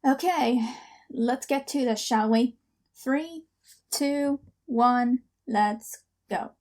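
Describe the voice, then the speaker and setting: A woman's voice: a little high, drawn-out words, darkish tone. A woman hosting a reaction video in a casual, rambling, self-deprecating way. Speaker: host of reaction video, amateur voice actor. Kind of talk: casual chatty reaction commentary.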